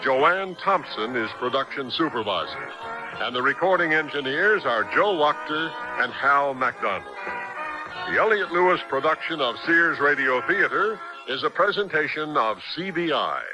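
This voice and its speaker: A man's voice: a little high, sing-song, normal speed, poor audio. A man reading scripted announcements and sponsor copy as a 1970s radio announcer. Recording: old radio broadcast. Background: music.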